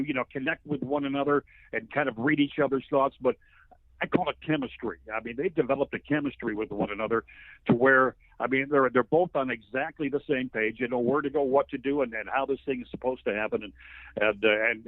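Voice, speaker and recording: Thin phone-like audio, American male sports announcer, slightly high-pitched, folksy, conversational, talkative. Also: brisk, no room sound. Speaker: sports play-by-play announcer, guest. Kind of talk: conversational sports commentary interview.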